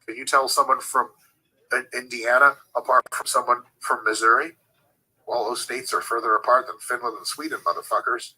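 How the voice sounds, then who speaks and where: A man's voice: mid-range voice, level tone, normal speed, pushed and bright, slightly rough, poor audio. A man giving casual fitness advice in a profane, joking way, wandering into digressions and talking through lifting technique. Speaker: fitness coach.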